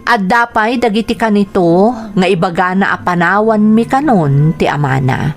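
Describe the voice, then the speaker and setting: A woman's voice: medium pitch, moderate pace, faint music behind, close to an everyday voice. An actress with an Ilocano accent playing a hurt, weary young wife and mother in a radio drama. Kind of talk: Filipino radio drama acting.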